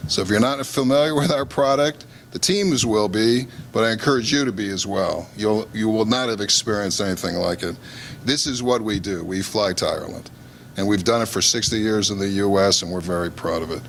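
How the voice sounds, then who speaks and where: Deep-voiced Chicago man. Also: fairly quick, a bit bright, slight background noise. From Chicago, USA. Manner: upbeat, joking, salesy. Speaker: airline executive. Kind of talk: promotional speech at a press conference.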